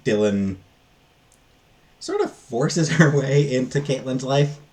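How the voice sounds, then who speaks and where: A man giving a casual, unscripted book review in a halting, rambling way that often trails off. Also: mid-range voice, lively intonation, a little mellow.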